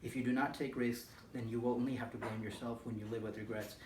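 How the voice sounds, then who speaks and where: Fast-talking young man far off in an echoey room. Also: mid-range voice, flat delivery, background noise. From Nepal. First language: Nepali. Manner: earnest, formal, with occasional slips and restarts. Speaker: student speaker. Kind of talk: reading a prepared personal speech.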